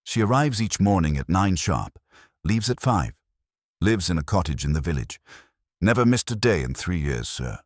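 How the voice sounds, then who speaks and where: A man's voice: deep voice, big pitch swings, moderate pace, dry recording, studio quality. A man voicing a respectful middle-aged woman of the household, reporting things plainly.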